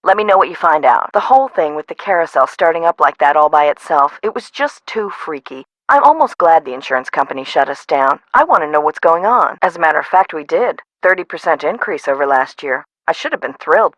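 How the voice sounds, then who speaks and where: A frazzled park boss lady for a video game, bright crisp edge, dry delivery, super close clean sound. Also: low voice, expressive, brisk, hardly any pauses, no room sound, rough recording. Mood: brisk, confiding. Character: frazzled, no-nonsense woman amusement park boss. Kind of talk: scripted video game voice acting.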